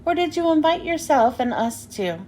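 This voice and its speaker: Woman as a sensible, mellow little girl. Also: high-pitched, lively intonation, normal speed, slight background noise. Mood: frank. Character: sensible, gentle young girl, quietly frank peacemaker. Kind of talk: children's storybook read-aloud.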